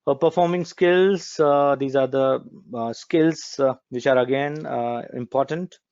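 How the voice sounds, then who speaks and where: A man's voice: mid-range voice, a little slow, darkish tone, clean tone, dry recording, highs cut off. Man lecturing with many hesitant fillers. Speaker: corporate trainer. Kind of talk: recorded training lecture.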